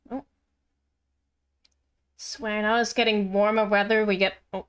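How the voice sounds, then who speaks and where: A woman's voice: medium pitch, slow. A woman game streamer chatting casually and directly to her viewers during gameplay. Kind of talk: casual livestream chat over gameplay. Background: faint game music.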